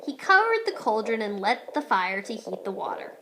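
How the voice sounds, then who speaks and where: A woman's voice: a little high, very animated, normal speed, heavy background noise. A woman reading a children's storybook aloud expressively, like a teacher.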